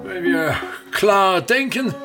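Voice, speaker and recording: An actor playing an embittered, pompous aging state actor in a radio play, an older man who declaims grandly and complains querulously with dramatic pauses. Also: sits high, lively intonation, loud music behind the voice. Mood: disgusted, complaining. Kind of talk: theatrical radio play monologue.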